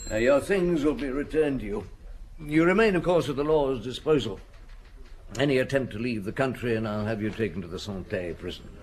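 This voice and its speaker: An actor playing a seasoned French police chief inspector in a radio drama, patient and dogged, speaking in a measured, probing, official way with thoughtful hums. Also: male voice, medium pitch, a little hiss or hum, natural voice, poor audio. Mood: firm, brisk. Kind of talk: radio drama acting, reminiscing and interrogating.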